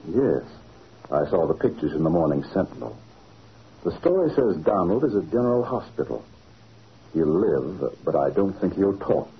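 An actor playing a sly, smug crooked contractor with oily courtesy, in old-time radio drama style. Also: male voice, sits low, very animated, moderate pace, husky, exaggerated voice. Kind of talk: 1940s radio acting. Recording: dull, noisy old radio recording.

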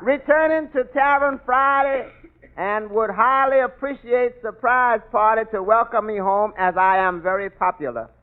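An actor playing a dry-humored tavern waiter in a 1940s radio sitcom, with folksy ungrammatical speech and stammered repeats. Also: male voice, very high-pitched, moderate pace. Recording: tinny old radio broadcast with hiss.

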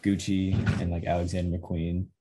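Relaxed deep-voiced man. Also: normal speed, slightly rough. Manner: relaxed, laughs easily, joking asides. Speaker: podcast host. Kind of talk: casual chat.

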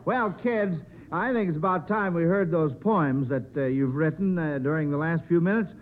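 A man's voice: fairly high pitch, normal speed, a little hiss or hum. A man hosting a 1950s radio panel show, talking in a chatty, folksy way with children. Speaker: quiz show host. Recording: muffled vintage 1950s radio recording.

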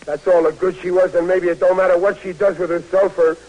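An actor playing a humble, despondent young soldier in a vintage radio drama, plain-spoken and deferential. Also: male voice, sits high, little pitch movement, a little fast, a little mellow, lo-fi sound. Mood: bitter, upset. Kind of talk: 1940s-50s radio drama acting. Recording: faintly noisy old radio broadcast.

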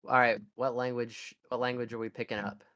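Smooth-voiced young man, rambling sarcastically. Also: medium pitch, flat delivery, fairly quick, pushed and bright. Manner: rambling, sarcastic, stumbles and restarts. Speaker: podcast co-host. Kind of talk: casual chat.

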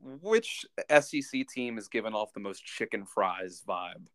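Guy on a sports podcast, lowish clear voice, kinda halting, thinking out loud. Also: expressive, dry recording, studio quality. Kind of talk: casual sports chat.

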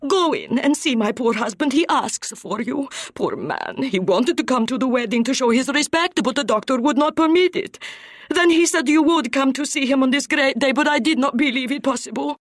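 A high, babbling grieving wife. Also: male voice, moderate pace, no echo, studio quality. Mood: tearful. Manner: sobbing, rambling, effusive. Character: stout grieving older Italian wife, babbling.

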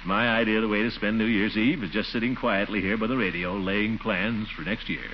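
A man's voice: on the low side, fairly even intonation, brisk, close to an everyday voice. A man playing a pompous, exasperated middle-aged uncle, blustering theatrically in a 1940s-style radio comedy. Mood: stiffly polite. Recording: noisy, dull old radio recording.

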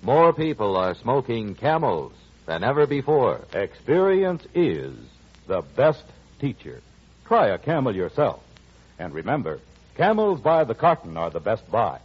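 A man's voice: mid-range voice, huge pitch swings, measured pace, slightly rough. A man announcing in 1940s radio style with polished, emphatic salesmanship. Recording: dull old radio recording.

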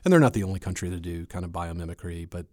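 A man speaking as an expert guest in an interview, explaining in a measured, conversational way. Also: sits low, a little mellow, dry recording, studio quality.

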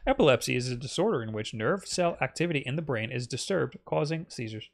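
A man's voice: medium pitch, expressive, fast talker, vocal fry. Casual young man co-hosting a podcast. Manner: rambling, reads listener comments aloud. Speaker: podcast co-host.

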